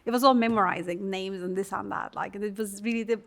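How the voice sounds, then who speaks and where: A woman's voice: medium pitch, steady tone, rapid-fire, gravelly. A woman from Turkey with a Turkish accent, a research scientist talking casually and personally, with a rambling, filler-sprinkled way of telling things. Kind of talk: casual conversation about life and career.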